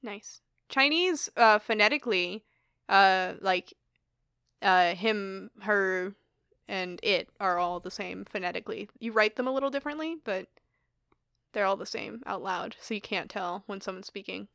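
A young woman talks casually on a podcast, rambling through stories with plenty of "like" and easy laughter. Her voice sits at a middle pitch for a woman. The sound is close and dry, with no room echo. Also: measured pace, gravelly, a bit bright. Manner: chatty, laughs a lot, lots of "like". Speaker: podcast co-host. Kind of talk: casual chat.

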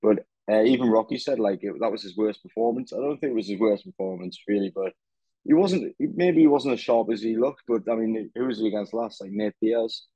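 A young man speaks in a low, mellow voice, relaxed and easygoing, dropping casual swears. His audio comes through dry and a bit muffled, as if over a video call. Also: a little fast, breathy edge, no room sound. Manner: laid-back, lots of "yeah, man", swears casually. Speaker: podcast host. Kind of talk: casual fight-recap chat.

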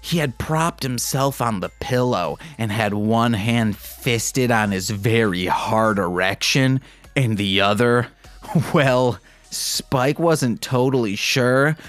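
A man's voice: lowish voice, takes it slowly, fairly bright tone. A man hosting a comedic podcast, reading fan fiction in a mock-sultry way and breaking off into self-mocking asides. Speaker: podcast host.

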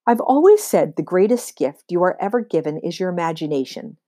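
Lady reading as a wry fed-up lady teacher in a storybook, firm measured lecturing, mellow voice. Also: on the low side, expressive, dry recording, studio quality. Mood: earnest lecturing. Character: exasperated, wry female schoolteacher.

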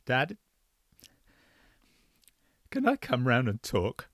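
A man's voice: medium pitch, huge pitch swings, very slow, voice pushed up, heavily acted. A man reading the part of an adult son who speaks earnestly and directly.

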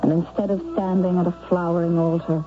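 A woman's voice: fairly low pitch, little pitch movement, brisk, over music, lightly acted. An actress voices a young, romantic nurse telling her own story in a vintage radio drama. Her earnest, wistful voice comes through a thin, tinny broadcast. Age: young adult. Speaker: nurse and narrator. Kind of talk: 1970s radio drama narration.

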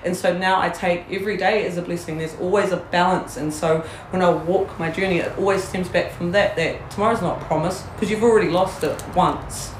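A woman's voice: fairly low pitch, fairly even intonation, fairly quick, few pauses, roomy sound, a little hiss or hum, poor audio. A woman with a New Zealand accent, a guest in a candid interview, talking bluntly, swearing freely and laughing often.

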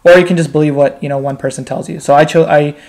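A man's voice: a little high, fairly even intonation, fast, creaky, a little mellow. A man in his twenties with an American accent, telling his personal story candidly and informally as an interview guest, often checking in with "right?". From United States, Pakistan, Bangladesh and Qatar. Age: 20s. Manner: informal, uses frequent "like" and "right?" tags.

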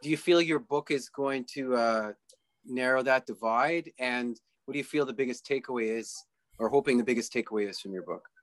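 A man who works as a voice actor, co-hosting a casual interview in a measured, earnest way. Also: medium pitch, no echo. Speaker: co-host, voice actor.

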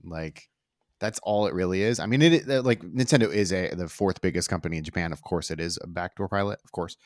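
A man chatting casually as a podcast guest, halting and circling back to his point. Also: deep voice, no room sound, clean studio sound. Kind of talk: casual chat.

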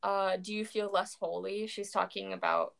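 A young woman talks through poems in a loose, rambling way, full of "like" and restarts. Her voice has a gravelly creak, and she sounds worn out after a long day. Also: medium pitch, steady tone, normal speed, a bit bright. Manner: rambling, many fillers, self-corrections. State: tired. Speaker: co-host discussing poetry. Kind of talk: casual chat.

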